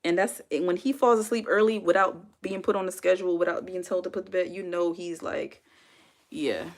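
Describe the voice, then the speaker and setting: Woman, 40s, livestream host, slightly low voice, casual and chatty with viewers. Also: steady tone, brisk. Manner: chatty, talks straight to chat, laughs often. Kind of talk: casual chat reading viewer comments.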